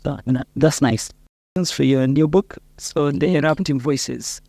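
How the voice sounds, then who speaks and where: Creaky, mellow Kenyan male interviewer. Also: fairly high pitch, a little slow, no room sound. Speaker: podcast interviewer. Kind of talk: casual interview questions.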